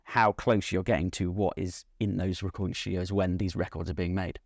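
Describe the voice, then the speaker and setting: A man speaking as a company representative in an interview, giving measured, unscripted technical explanations of a product. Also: sits low, flat delivery, gravelly, dry recording, studio quality. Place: at a hi-fi trade show.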